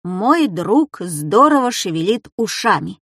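Woman as a cheeky, animated schoolboy. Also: mid-range voice, slow pace, no echo, studio quality, heavily acted. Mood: self-satisfied. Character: mischievous, self-assured schoolboy, dramatic and stubborn.

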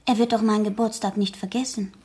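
A woman's voice: mid-range voice, steady tone, fast, dark tone, husky, close to an everyday voice. An actress in a German radio play voicing an exuberant, cheeky, naive sixteen-year-old girl, eager and unguarded. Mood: wistful, curious. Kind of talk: German radio drama acting.

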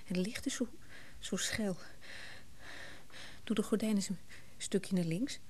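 An actress playing a bitter, grieving widow in a Dutch radio drama, speaking haltingly and often near a whisper. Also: female voice, mid-range voice, darkish tone, breathy edge. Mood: agitated, bitter. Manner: halting, repeating words in agitation. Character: distraught, bitter young widow, apathetic with grief. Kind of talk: naturalistic Dutch radio play acting.

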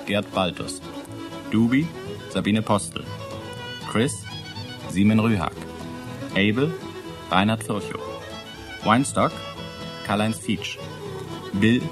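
A man's voice: on the low side, slow pace, pauses often, over music. A man reading radio play credits as a formal, scripted announcement.

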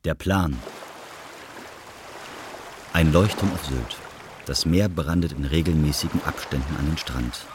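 Very deep man narrating over noise. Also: a little slow, long pauses. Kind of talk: scripted narration, audio drama. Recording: clearly noisy ambience behind the voice.

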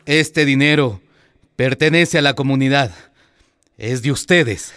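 An actor playing an embarrassed, apologetic town mayor with a hesitant delivery in a radio drama. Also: male voice, mid-range voice, a little slow, fairly bright tone, close to an everyday voice. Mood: ashamed.

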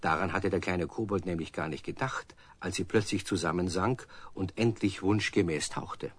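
A man's voice: low voice, moderate pace, breathy edge. A man narrating a children's radio play as a scripted storyteller.

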